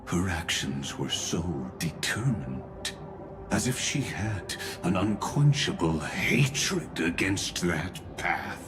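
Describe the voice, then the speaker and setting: A male voice actor playing a cold, courtly genius villain in a video game, speaking formally with measured, ominous courtesy. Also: low-pitched, very animated, music in the background, exaggerated voice. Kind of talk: scripted video game dialogue.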